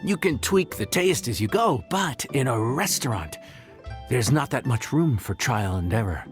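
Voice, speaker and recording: An actor playing an affable, patient inn cook who explains things in an encouraging, folksy way in a video game. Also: male voice, mid-range voice, animated, moderate pace, faint music behind, close to an everyday voice. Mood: instructive. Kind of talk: video game cutscene acting.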